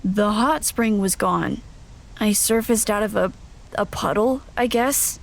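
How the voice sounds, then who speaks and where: A woman playing an uneasy young wanderer, narrating in the first person for an audio drama, plain and halting. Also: medium pitch, vocal fry. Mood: wary, recounting.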